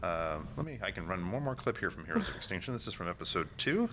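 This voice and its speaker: A man presenting informally on a panel, with a hesitant, halting way of talking. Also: sits low, sing-song. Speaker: panel presenter, audio drama producer. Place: convention panel room, indoors. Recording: noisy convention panel room.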